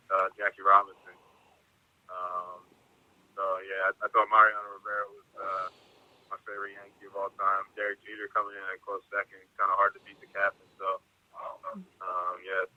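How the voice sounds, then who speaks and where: A young man, a college athlete, giving casual interview answers on a phone conference call. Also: deep voice. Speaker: college football player. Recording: muffled telephone conference line.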